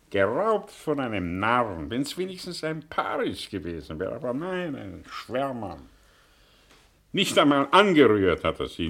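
An actor playing a melancholy, sardonic exiled professor in a German radio drama, musing and declaiming with long pauses. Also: male voice, fairly low pitch, full character voice. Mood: bitter ironic.

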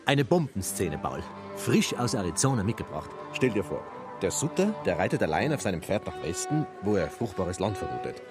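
Ambitious film director in a radio play, lowish voice, really animated, emphatic. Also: male voice, normal speed, over music. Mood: enthusiastic. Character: ambitious, self-styled unpolitical mountain-born film director. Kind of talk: radio drama acting.

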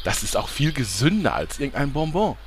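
A man's voice: mid-range voice, very animated, fairly quick, noisy background. A man playing a pushy, talkative film promoter in a parody radio play, wheedling and dramatic.

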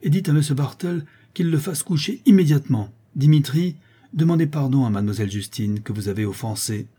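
A man's voice: on the low side, expressive, fast, dark tone, slightly rough. A man voicing a stern, imperious countess in a storybook, with a curt and commanding manner. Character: stern, imperious countess, an outraged aristocratic mother.

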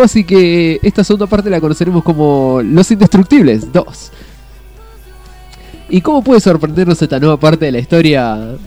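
A man's voice: on the high side, dark tone. A man with a Rioplatense Argentine accent co-hosting a podcast, presenting a film segment with frequent laughs and fillers. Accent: Rioplatense Spanish. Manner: laughs often, filler-heavy. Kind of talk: casual banter and film review.

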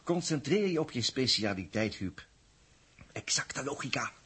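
An actor playing a cocky, crafty space agent, breezy and bossy, in a 1960s science-fiction radio drama. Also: male voice, medium pitch, animated, a little slow, noisy background, close to an everyday voice. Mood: urging, coaxing. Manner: breezy, scornful, coaxing.

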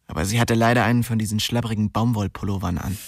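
A man's voice: lowish voice, fairly even intonation, quick pace, a little hiss or hum, natural voice. An actor playing a lovestruck, restless young man who narrates his small-town life in an intimate, slangy, wry way in a radio drama. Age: young adult. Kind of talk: radio drama first-person narration and dialogue.

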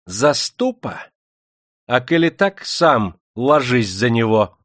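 Man acting a loud, imperious factory-owning bully. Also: on the high side, lively intonation, unhurried, no room sound, clean studio sound, voice pushed up. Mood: venomous taunting. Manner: barking, taunting, imperious. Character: despotic, jeering Russian factory owner, hot-tempered bully.

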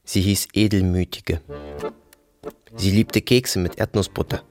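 A man's voice: deep voice, steady tone, unhurried, a little mellow, soft background music. An actor playing a brooding, detached man in an audio drama monologue, speaking in terse fragments with sudden emphatic bursts. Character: brooding, detached male narrator recounting violence and family.

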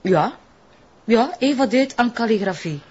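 Defiant Flemish woman, lively pitch. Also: unhurried, breathy edge, dull audio. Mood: accusing. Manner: challenging, blunt. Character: defiant, suspicious young woman in a cult. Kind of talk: tense TV drama dialogue.

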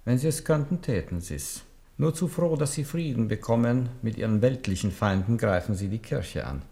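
An older man in a radio drama playing a bowed old papal legate, formal and deliberate, humble on the surface but coldly warning. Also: mid-range voice, expressive, creaky, dark tone. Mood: veiled, admonishing. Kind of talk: 1970s German radio drama acting.

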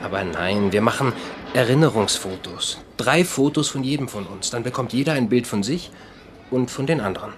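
An actor as coaxing suitor over faint noise. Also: male voice, medium pitch, lively intonation, moderate pace, lightly acted. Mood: eager, coaxing. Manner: politely coaxing. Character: eager, coaxing suitor inviting a young lady. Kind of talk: German radio drama dialogue.

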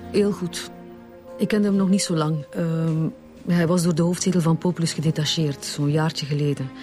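A woman's voice: low-pitched, fairly even intonation, moderate pace, a little mellow, faint music behind, close to an everyday voice. An actress playing an earnest female bank deputy in a radio drama, speaking formal, slightly hesitant Flemish Dutch. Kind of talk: naturalistic radio drama dialogue.

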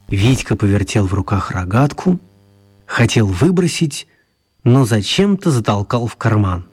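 An actor narrating a children's tale as the storyteller of a radio play. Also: male voice, fairly low pitch, lively intonation, a little slow, darkish tone, husky. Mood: storytelling.

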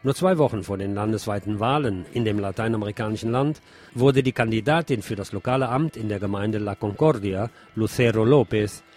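A man presenting scripted news on community radio, reading in a measured reporting style with the odd dry aside. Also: on the low side, a little mellow.

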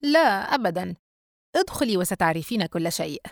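A woman's voice: lowish voice, fast, no echo, clean studio sound, lightly acted. A woman as a cold, taunting teenage brother. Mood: sly. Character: callous, mocking teenage brother, sly and indifferent. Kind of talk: dramatised audiobook dialogue.

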